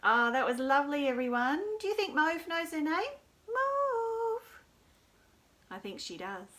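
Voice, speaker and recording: A woman presents a children's storytime in a fairly high voice. She speaks very slowly and in simple phrases, with an encouraging, sing-song delivery aimed at small listeners. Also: sing-song, fairly bright tone. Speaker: children's storytime host at a library.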